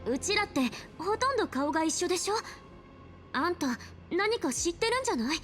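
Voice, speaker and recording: A spirited young game heroine with a high voice that swings widely in pitch and hurries along. She sounds anxious and earnest, in anime style, with music behind her. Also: big pitch swings, rapid-fire, fairly bright tone, full character voice. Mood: urgent, pleading.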